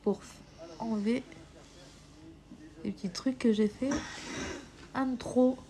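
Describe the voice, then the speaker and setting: Woman with a cold, live makeup streamer on a phone, hesitant, sometimes whispering, slow and dark-toned. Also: on the high side, steady tone. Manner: hesitant, many filler pauses; drops to a whisper while applying. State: has a cold. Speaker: makeup livestreamer.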